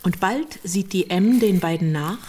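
A woman narrating a scripted radio drama in a measured, literary way. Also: on the low side, animated, mellow, a little hiss or hum.

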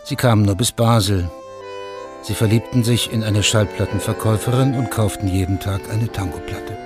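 Male narrator for radio play, lowish voice, factual and sober delivery, clear music bed. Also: steady tone, normal speed. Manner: sober, matter-of-fact. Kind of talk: scripted radio drama narration.

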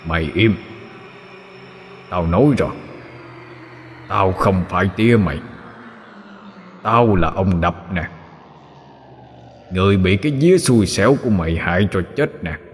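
A man with a Southern Vietnamese accent voicing a vengeful spirit possessing an old man, hoarse and strangely echoing, slow and menacing. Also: deep voice, animated, slight background noise. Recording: long reverb on the voice.

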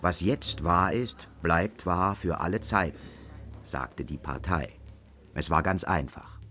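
A man's voice: low-pitched, slow pace, pushed and bright, a little hiss or hum. A man narrating a scripted radio drama as its storyteller. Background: faint music.

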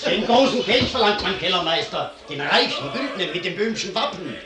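A man playing a lively, bustling servant in a 1950s German radio drama. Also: high-pitched, brisk, noisy background. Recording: 1950s radio recording, music behind.

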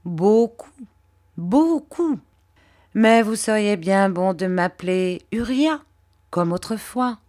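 A woman voicing a fawning, falsely humble young male clerk who speaks with wheedling, drawn-out emphasis. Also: medium pitch, lively intonation, darkish tone, voice pushed up.

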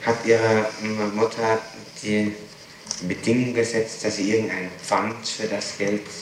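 A man's voice: lowish voice, flat delivery, a little slow. A man putting formal, insistent questions as a prosecutor in an interrogation, in a matter-of-fact way. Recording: echoey, noisy archival 1960s interrogation tape.

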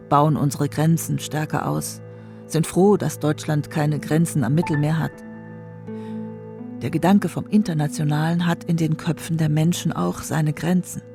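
An actress playing a stern, disillusioned ghost of the postwar past in a radio play, speaking in clipped declarative phrases. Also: female voice, low-pitched, little pitch movement, normal speed, gravelly. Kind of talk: literary radio play monologue. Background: faint music.

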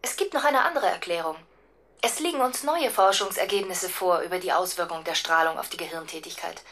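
An actress playing a matter-of-fact female specialist in a radio drama, brisk, official and detached. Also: fairly low pitch, a bit bright. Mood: cool, dismissive.

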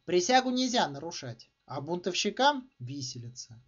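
A man voicing a grumpy, scornful Russian country landowner in a storybook reading, gruff and dismissive. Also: high voice, sing-song, normal speed, fairly bright tone, heavily acted. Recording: dull, muffled lo-fi sound.

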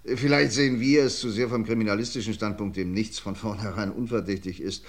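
An actor playing a calm, methodical police inspector in a vintage radio crime drama. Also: male voice, fairly low pitch, brisk. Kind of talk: 1950s radio play acting.

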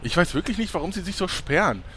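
A man's voice: high-pitched, lively intonation, fast talker, sharp tone, slight background noise. A man playing a relentlessly chatty, annoyingly cheerful sidekick in a German comedy audio drama. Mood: chirpy, pestering. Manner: chirpy, pestering, theatrical.

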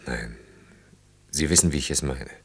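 A man's voice: very deep voice, normal speed, husky. An actor in a German radio play, playing an earnest, self-reproaching man in his thirties in love, speaking in an intimate, ruminative way. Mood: tender, subdued. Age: 30s.

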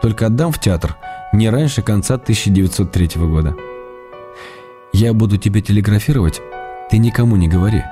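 An actor playing an ailing middle-aged writer in a radio drama, reading his love letters in an intimate, measured, wry way. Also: on the low side, animated, dark tone, soft background music, close to an everyday voice. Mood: tender, weary.

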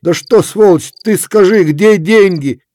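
A man's voice: medium pitch, animated, normal speed, mellow, slightly rough. A man doing a pious old wanderer, stubborn and reproachful. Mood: alarmed pleading. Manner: insistent, moralizing, plain-spoken.

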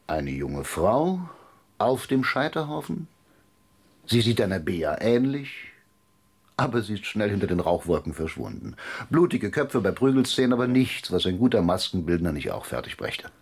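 A smug schemer in a radio drama. His low voice is husky and swings widely in pitch, teasing and provoking with self-satisfied irony. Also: big pitch swings, normal speed, a little mellow, exaggerated voice. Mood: smug, taunting. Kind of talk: naturalistic German radio drama acting.

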